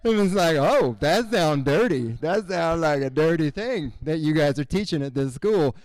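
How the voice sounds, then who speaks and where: A man from Cuba, raised in Miami, doing a stand-up comedy set in a basement room and jumping into loud shouted voices for the people in his jokes. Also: a little high, expressive, normal speed, dry recording, low-quality recording. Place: basement room at a college show.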